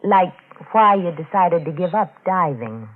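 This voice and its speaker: Tinny old radio, a sly young wife, silky n pointed, voice goes up and down a lot. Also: female voice, on the low side, lively intonation, a little slow. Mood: sly, threatening. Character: cool, sly young wife, sure of herself, quietly threatening. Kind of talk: 1940s radio drama acting. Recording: thin old-time radio recording.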